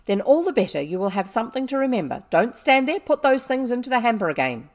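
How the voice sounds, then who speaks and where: Woman acting a loud, furious, scornful headmistress. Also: a little high, very animated, very fast, voice pushed up. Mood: stern, scolding. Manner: imperious, scolding. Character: stern, furious, scornful older schoolmistress.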